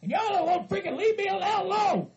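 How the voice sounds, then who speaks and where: Pissed off southern hillbilly, super high gravelly voice, cussing and hollering. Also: male voice, very animated, brisk, lo-fi sound, a loud crowd, heavily acted. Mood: surly, angry. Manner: hot-tempered, shouting, profane. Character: furious hillbilly man, foul-mouthed, wronged, ranting.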